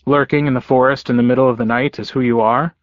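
A guarded young man played by a male narrator talks in a medium pitch, curt and sardonic. He sounds hurt and stubborn, in an audiobook story recorded dry. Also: steady tone, quick pace, no room sound, highs cut off, natural voice. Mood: grumpy, defensive.

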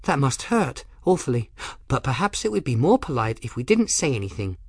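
A narrator voicing an odd, fey, flustered young apprentice girl in an audiobook, breathy and rambling to herself in the third person. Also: mid-range voice, sing-song, a little fast, darkish tone, no room sound, clean studio sound. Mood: musing. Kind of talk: audiobook character dialogue.

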